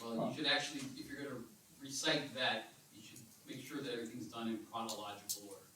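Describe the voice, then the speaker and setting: A man's voice: mid-range voice, steady tone, fast, background noise. A man giving a careful, formal account at a city council meeting, speaking in hedged, lawyerly phrases. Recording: echoey council chamber, distant mic.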